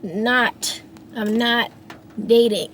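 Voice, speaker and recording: A woman's voice: a little high, steady tone, extremely slow, a bit bright, slight background noise. A woman in her thirties, a singer and songwriter, talking through her feelings in a rambling, reflective monologue with many restarts. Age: 30s. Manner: rambling, frequent restarts and fillers.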